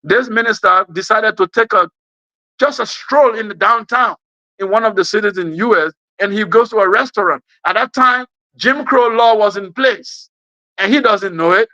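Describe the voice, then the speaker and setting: A man's voice: high-pitched, moderate pace, pushed and bright, dry recording, lo-fi sound. A man with a West African accent gives an impassioned political speech, emphatic and repeating phrases for effect. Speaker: speaker giving an address.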